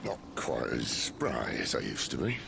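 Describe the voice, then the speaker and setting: An older rebel mentor in a video game, with a very deep voice that swings freely. He is wry and breezy, with noisy ambience behind him. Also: huge pitch swings, measured pace, vocal fry, heavily acted. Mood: teasing. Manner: wry, breezy, mock-philosophical. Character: roguish, cheerful older mentor and rebel leader.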